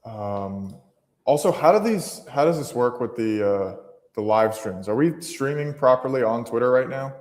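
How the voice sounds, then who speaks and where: A man's voice: mid-range voice, expressive, normal speed, darkish tone, long reverb tail. A man hosting a live trading stream, talking casually and thinking aloud, with many fillers and restarts.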